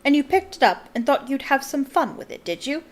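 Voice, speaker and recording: A woman's voice: high voice, sing-song, a little fast, voice pushed up. A woman doing a stern barking official. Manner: stern, interrogating.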